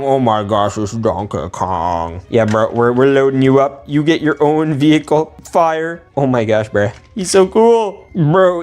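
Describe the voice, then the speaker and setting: A man's voice: on the high side, darkish tone. A man hosting a casual toy unboxing video with playful, exclamatory, slangy commentary. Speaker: host of a toy building video. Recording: background music under the voice.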